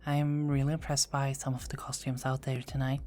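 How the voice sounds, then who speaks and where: A woman playing a low, whispering teasing vampire. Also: little pitch movement, moderate pace. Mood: friendly. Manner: soft-spoken, often whispering, playful teasing. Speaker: performer of own script. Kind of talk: ASMR roleplay.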